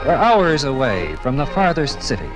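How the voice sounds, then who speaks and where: An American male narrator reads a scripted radio documentary in 1950s style. His pitch is in the middle range. Some music is heard behind him. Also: huge pitch swings, moderate pace.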